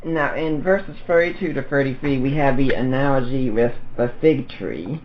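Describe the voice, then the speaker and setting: A man hosting an interview. He reads passages aloud quickly and follows them with pointed questions. His voice comes through muffled and lo-fi, with faint noise behind it. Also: mid-range voice. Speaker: podcast host.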